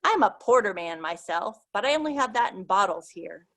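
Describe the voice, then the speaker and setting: A woman's voice: mid-range voice, lively intonation, moderate pace, fairly bright tone. A woman reading the lines of a friendly American male bartender in his thirties, chatty and a little cagey.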